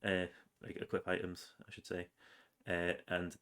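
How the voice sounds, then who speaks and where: A man's voice: low voice, a little slow. A man with a cold, casually narrating his game's progress over gameplay with plenty of ums and uhs. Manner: relaxed, rambling with many ums and uhs. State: has a cold, sniffly. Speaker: game developer.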